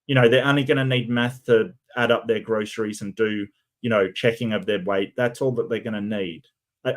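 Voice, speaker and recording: A man hosting a solo stream, talking in a casual way. His voice is croaky and tired, and he often stammers and repeats his first words. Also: medium pitch. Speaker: streamer host.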